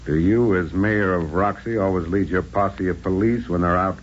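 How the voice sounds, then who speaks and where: An American man playing a cool, tough, wisecracking adventurer in a 1940s radio drama, gravelly and dryly sardonic. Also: moderate pace. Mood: sardonic, unruffled. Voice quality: deep, gravelly. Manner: dry, sardonic, unruffled. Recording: dull, noisy old radio recording.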